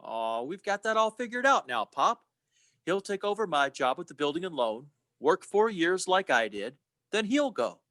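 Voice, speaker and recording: Radio play: man as restless young dreamer, earnest, high-pitched, very animated. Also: moderate pace, no echo, clean studio sound. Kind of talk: old-time radio play acting.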